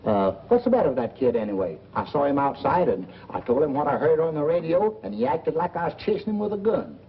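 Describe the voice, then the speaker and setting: Chatty small-town uncle, lively. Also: male voice, fairly high pitch, fast talker, a little hiss or hum. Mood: gossipy, puzzled. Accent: American. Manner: chatty, rambling, folksy. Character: genial, rambling beer-loving small-town uncle. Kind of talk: 1940s film acting. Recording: dull old film soundtrack, music behind.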